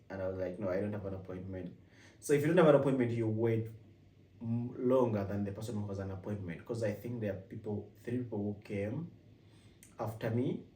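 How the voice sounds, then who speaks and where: A man with a Ugandan accent talks casually to the camera, often breaking off and restarting his sentences. His voice sits a little low and mellow. He sounds a little far from the mic in an echoey room with a faint hum of ambience. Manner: rambling with frequent restarts. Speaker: vlogger host. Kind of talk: casual personal vlog.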